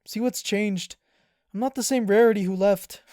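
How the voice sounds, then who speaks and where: A woman voicing a sincere, gentle changeling pony in a parody cartoon, thoughtful and slightly hesitant. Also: medium pitch, normal speed, no echo, studio quality, close to an everyday voice. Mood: fond. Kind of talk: animated parody voice acting.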